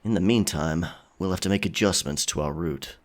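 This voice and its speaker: Man acting a deep-voiced roguish pirate. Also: moderate pace, natural voice. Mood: matter-of-fact. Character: roguish, unapologetic male pirate sailor, teasing and self-assured. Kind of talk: audio drama acting.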